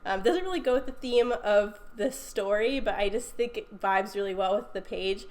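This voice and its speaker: A woman's voice: medium pitch, moderate pace, a little mellow. A young woman with a stuffy cold, chatting casually through a craft video voiceover with frequent ums and little laughs. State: congested from a cold. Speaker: scrapbooking video host. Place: recorded voiceover at home.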